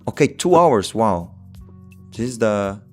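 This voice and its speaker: A man's voice: on the low side, sing-song, slow, a little mellow, soft background music. A tired man with an Italian accent, Italian his first language, thinking aloud as he plays chess on a live stream, full of "you know" and "like". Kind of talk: casual live-stream chat while playing.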